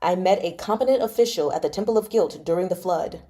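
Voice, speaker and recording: A woman's voice: on the low side, fast talker, warm tone, lightly acted. A woman voicing a shrewd, composed courtier, steadily persuading, in a novel read-aloud. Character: shrewd, composed male courtier advising the emperor.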